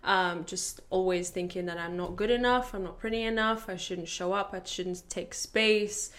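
A woman in her twenties from Latvia, chatting on a podcast in a candid, rambling way. She pauses often with fillers and breaks into laughter, and her voice sits at a medium pitch. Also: steady tone, fairly bright tone. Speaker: podcast co-host sharing her story. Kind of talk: casual podcast chat.

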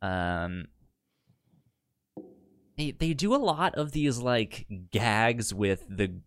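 A man in a casual podcast chat as co-host, speaking haltingly and often repeating syllables and words. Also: medium pitch, sing-song. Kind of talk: casual chat about sitcom episodes.

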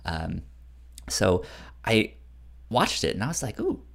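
A podcast co-host in casual conversation. The delivery is unhurried and halting, with sentences that get rephrased along the way, while the pitch swings in an animated way. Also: vocal fry. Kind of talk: casual book discussion chat.

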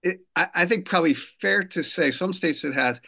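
A man from New Jersey, a political consultant, explaining a law in a radio interview, pausing often with fillers and restarts. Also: sits high, vocal fry, sharp tone. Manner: measured, many fillers and restarts. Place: lives in Rhode Island.

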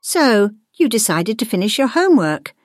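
A woman's voice: a little high, big pitch swings, normal speed, dry recording, clean studio sound, voice pushed up. A woman voicing a composed, wry, knowing witch who teaches school, in a storybook.

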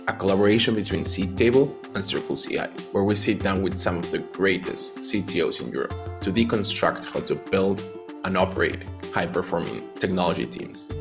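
A man hosting a podcast, reading a scripted intro and then asking questions with frequent restarts. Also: low-pitched. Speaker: podcast host.

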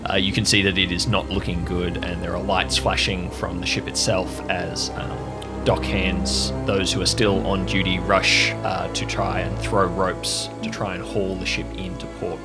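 A man narrating as game master of a tabletop game, improvising descriptive scenes with hesitant pauses. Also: deep voice, flat delivery, loud music behind the voice.

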